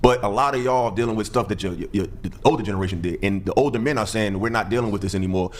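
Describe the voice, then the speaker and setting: A man in his late thirties in a panel discussion, telling stories fast and acting out quotes. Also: fairly low pitch. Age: 30s. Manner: fast, animated storytelling with acted-out quotes.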